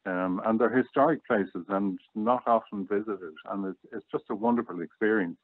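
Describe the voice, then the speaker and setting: A man's voice: fairly low pitch, moderate pace, no echo. A middle-aged Irish man chatting casually as an interview guest, reminiscing over a call. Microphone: online call or phone line. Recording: muffled phone-like, dry online call.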